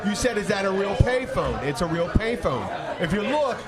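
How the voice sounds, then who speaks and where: A man hosting a casual podcast, telling jokey bar stories in an animated, profane way, often with a laugh in his voice. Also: high voice, normal speed. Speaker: podcast host and bartender. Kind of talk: casual bar banter and storytelling. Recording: noisy bar crowd behind.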